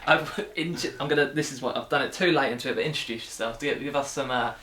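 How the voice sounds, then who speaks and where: A man's voice: mid-range voice, fairly quick, pushed and bright. A man hosting a casual chat vlog, talking hesitantly with frequent restarts. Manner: hesitant, stammering. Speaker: host. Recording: echoey room with faint noise.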